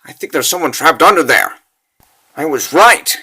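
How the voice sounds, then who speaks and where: A man's voice: on the high side, animated, moderate pace, bright, forceful tone, breathy edge, heavily acted. A man voicing an eager, earnest young child adventurer in a comic dub.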